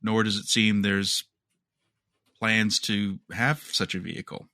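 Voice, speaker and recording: Man, podcast co-host, crisp bright tone, very dry sound, casual news discussion, wry and skeptical. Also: lowish voice, steady tone, slow, no echo.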